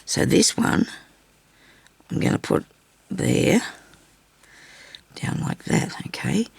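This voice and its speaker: An older woman with a raspy, hoarse voice from a bad cough, chatting casually through a sewing tutorial at her craft table. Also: slow, a little mellow, no echo. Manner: chatty, rambling asides while stitching. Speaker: crafting channel host. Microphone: overhead camera on her hands.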